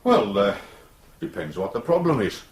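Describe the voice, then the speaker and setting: An actor playing a hesitant, placating English police sergeant who stammers a little in a radio drama. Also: male voice, fairly low pitch, sing-song, normal speed, a little hiss or hum. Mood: hesitant, polite. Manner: stammering, hesitant, patiently reassuring.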